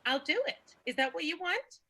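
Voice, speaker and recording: Radio soap lover, female actor: bright, indignant, melodramatic, stammering emphasis. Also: high-pitched, lively intonation, moderate pace, heavily acted. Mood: defiant, rising. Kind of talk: improvised radio soap acting.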